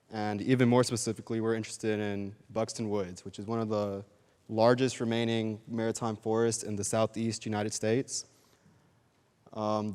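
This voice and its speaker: A young man giving a student research presentation in a steady, slightly halting way with fillers. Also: fairly low pitch, smooth, long reverb tail. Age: young adult. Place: lecture hall.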